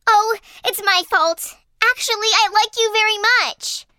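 Woman as a high, vain, girlish flower. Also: steady tone, unhurried, pushed and bright, no echo, studio quality, full character voice. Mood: regretful. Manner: coy, haughty. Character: vain, demanding young female flower. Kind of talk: acted children's audio fairy tale.

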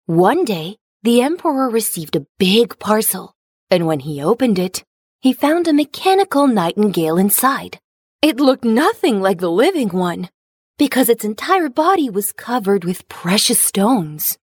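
A woman narrates a fairy tale for children. Her voice sits at a medium pitch and follows a script in storybook fashion. The recording is dry, with no room sound. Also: big pitch swings, measured pace, husky, no echo.

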